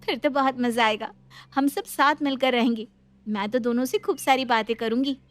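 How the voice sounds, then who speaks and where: A woman's voice: fairly high pitch, steady tone, normal speed, lightly acted. A woman voicing an eager, chatty young bride who questions everything and gets flustered easily, in a story.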